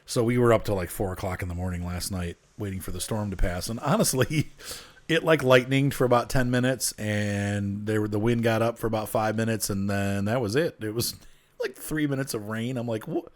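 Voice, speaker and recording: A man's voice: fairly low pitch, moderate pace. A man who sounds a little congested and nasal, chatting casually at home into a desk mic while he plays a train game, muttering to himself as he works. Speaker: streamer playing a train game. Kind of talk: casual gameplay chat. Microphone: desk microphone turned up.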